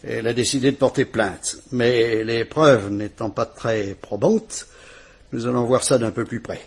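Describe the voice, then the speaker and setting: An actor playing a calm, kindly police commissioner in a vintage radio drama, speaking in a measured, courteous and reassuring way. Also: male voice, medium pitch, slightly rough. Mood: brisk, kindly.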